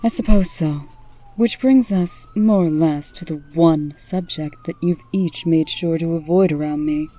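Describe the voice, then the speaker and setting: A woman's voice: low voice, measured pace, mellow. A woman playing a wry, authoritative female administrator in a comic dub. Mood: firm, insistent.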